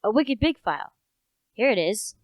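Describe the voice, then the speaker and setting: A woman's voice: a little high, big pitch swings, normal speed, no echo, studio quality. A teenage female actor playing an opinionated, suspicious rustic village constable in a radio play, folksy and insistent. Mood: excited, certain.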